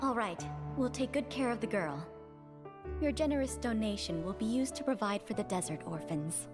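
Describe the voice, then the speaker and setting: Woman voicing a gentle orphanage lady in a game trailer, highish voice, music under it. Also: expressive, normal speed, lightly acted.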